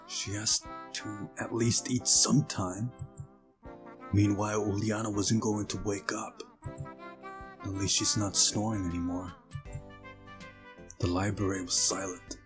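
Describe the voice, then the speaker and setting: Low-voiced man narrating over music. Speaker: let's play narrator. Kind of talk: visual novel read-aloud narration with casual asides. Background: game music.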